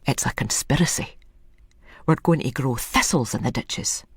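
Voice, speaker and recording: A woman's voice: extremely low pitch, lively intonation, normal speed, breathy edge, dry recording, full character voice. A woman voicing an eccentric, proud old rustic man with a Scottish accent in an audio story, speaking in folksy dialect. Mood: conspiratorial glee. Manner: folksy dialect, matter-of-fact pride. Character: old rustic male hedger, proud, eccentric asylum leaver.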